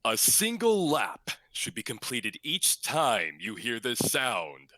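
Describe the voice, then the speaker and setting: A man voicing a grandiose dark overlord, making dramatic drawn-out announcements in an anime dub style. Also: mid-range voice, wildly swooping pitch, no room sound, exaggerated voice.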